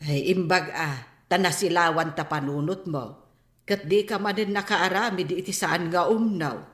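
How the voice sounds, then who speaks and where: A woman acting a fond, teasing mother of a grown daughter in an Ilocano radio comedy-drama, with drawn-out exclamations and an Ilocano accent. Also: lowish voice, natural voice. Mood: teasing, curious. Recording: roomy studio echo.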